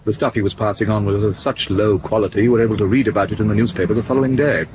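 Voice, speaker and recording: An actor playing an urbane, evasive secret service officer in a radio drama, drily condescending and smug. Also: male voice, on the low side, steady tone, racing, darkish tone, muffled recording, slight background noise, close to an everyday voice.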